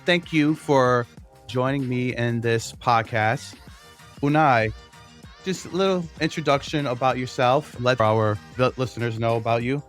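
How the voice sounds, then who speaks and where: A man's voice: mid-range voice, measured pace. Male podcast host, scripted intro then loose questions, faint music underneath. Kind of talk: podcast hosting and interviewing.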